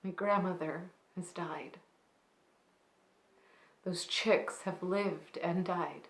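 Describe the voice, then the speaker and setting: Actress doing sad wistful woman telling a memory, stage monologue, quiet n restrained, lowish voice, echoey room. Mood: somber. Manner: hushed, restrained, pausing between phrases. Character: wistful grieving woman recalling her grandmother's birdhouse. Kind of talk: dramatic monologue, slow storytelling. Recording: roomy, echoing space.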